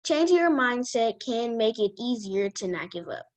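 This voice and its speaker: A woman reading a children's self-help book aloud as a guest reader, in a careful, halting manner. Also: on the high side, very animated.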